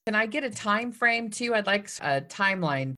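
A woman's voice: mid-range voice, lively intonation, normal speed, bright, forceful tone. A woman hosting a podcast interview, encouraging her guest and reflecting the guest's story back to her in a conversational way. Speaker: podcast host.

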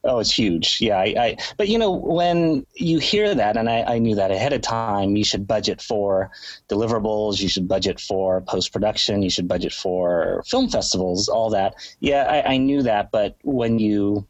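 A man's voice: on the low side, moderate pace, no room sound, dull audio. A man with a nasal, sniffly voice from a cold, explaining his filmmaking in a rambling, conversational way as an interview guest. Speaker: guest, writer-director.

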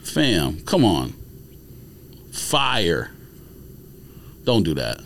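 A man's voice: deep voice, wildly swooping pitch, very slow, vocal fry, fairly bright tone. An American man co-hosting a podcast, bantering casually in blunt, slangy, opinionated style. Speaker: podcast co-host. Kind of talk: casual banter while running through sneaker releases.

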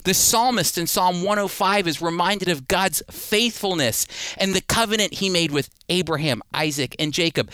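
Bright, clear-voiced male preacher, higher-pitched. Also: dry recording. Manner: emphatic, rhetorical questions, repeats phrases for weight. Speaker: Bible teacher and series host. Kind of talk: sermon, expository preaching.

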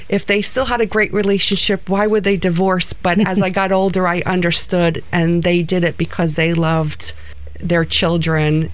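Middle-aged woman, New Jersey accent, earnest interview guest, a little low-pitched. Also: poor audio. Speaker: guest, co-owner of flight center. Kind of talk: interview answers.

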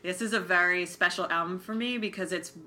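A woman's voice: fairly low pitch, a little fast, pushed and bright. A woman telling anecdotes as a panelist in an informal, conversational manner. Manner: informal, uses "like" often. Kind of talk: casual anecdotal chat.

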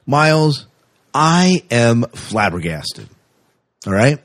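A man's voice: mid-range voice, sing-song, slow, fairly bright tone, no room sound, clean studio sound. A man co-hosting a podcast in a casual, chatty, jokey way with rambling asides. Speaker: podcast co-host.

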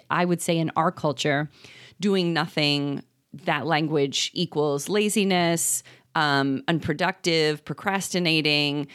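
Low-voiced woman podcast co-host. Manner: thoughtful, rambling with many ums. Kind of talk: casual chat.

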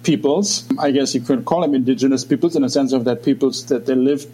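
A man in his forties from Germany with a German accent, speaking English as a second language, talking as a guest researcher in a thoughtful, halting way with many restarts. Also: medium pitch, mellow, a little hiss or hum. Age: 40s. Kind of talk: interview talk.

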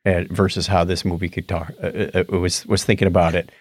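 A man co-hosting a podcast in casual chat, sometimes halting as he searches for words. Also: low voice, flat delivery, no echo. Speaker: podcast co-host. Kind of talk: casual chat about movies and tech.

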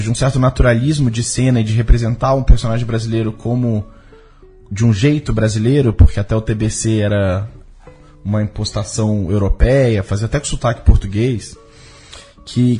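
Reflective Brazilian man with a husky voice. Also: medium pitch, warm tone. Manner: reflective, halting, searching for words. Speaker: documentary director being interviewed. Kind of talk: casual interview conversation.

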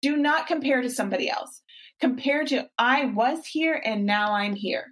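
A woman's voice: high-pitched, moderate pace, fairly bright tone. A woman in her forties hosting a podcast as a fitness coach, talking in a casual, encouraging way with frequent restarts. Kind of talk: casual interview chat.

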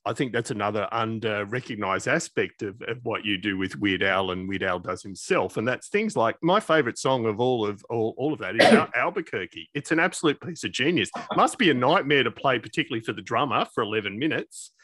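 A man's voice: lowish voice, expressive, a little fast, hardly any pauses, a bit bright. A male podcast co-host asking questions eagerly in a casual interview.

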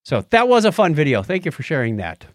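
A man's voice: on the high side, huge pitch swings, quick pace, no room sound, clean studio sound. A man hosting a podcast in casual chat, with jokey, informal asides. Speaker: podcast host.

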